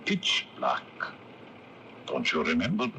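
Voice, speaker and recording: An actor playing a scheming, commanding Egyptian conspirator who speaks low and hushed in a radio drama. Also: male voice, wildly swooping pitch, slow, full character voice. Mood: cold, plotting. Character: scheming, coldly murderous Egyptian brother, secretive and commanding. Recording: hissy early-1980s AM radio broadcast.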